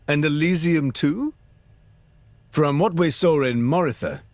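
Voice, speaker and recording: A man's voice: on the high side, no room sound. A man voicing an eager, naive, good-hearted teenage hero in a video game, casual and full of wonder. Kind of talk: video game character acting.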